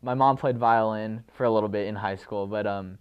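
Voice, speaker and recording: A teenage high school drummer answering interview questions in a polite, steady, slightly formal way, clearing his throat now and then. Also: a little fast, dull audio. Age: late teens.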